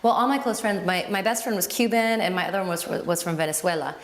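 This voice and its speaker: A woman's voice: lowish voice, quick pace. A woman from Lebanon with a Lebanese accent, raised speaking Arabic, a guest telling her story in a reflective, casual way with fillers and restarts. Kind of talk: casual conversational interview.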